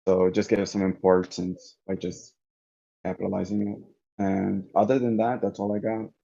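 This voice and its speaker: A man giving casual feedback on an online call in a hesitant, halting way, often repeating words. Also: low-pitched, flat delivery, gravelly, dark tone. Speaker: participant giving writing feedback. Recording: dull lo-fi online call audio.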